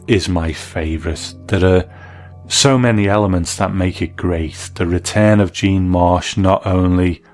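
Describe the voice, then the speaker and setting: A man with a very low voice presents a scripted documentary review. He is the host, narrating with soft music behind him. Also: unhurried.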